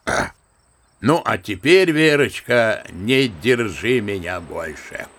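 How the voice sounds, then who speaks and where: Slow, gravelly old general spinning tales. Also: male voice, lowish voice, expressive, a bit bright, exaggerated voice. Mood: wistful. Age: older. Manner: unhurried, bookish, old-fashioned storytelling. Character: old general and grandfather, unhurried naive storyteller, good-natured. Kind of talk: Russian radio drama acting.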